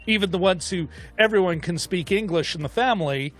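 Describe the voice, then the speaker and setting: Guy co-hosting a movie chat, high voice, rambles excitedly, faint music in there. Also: fairly quick. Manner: rambling, animated, thinking aloud. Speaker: co-host of a film discussion show. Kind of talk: casual chat.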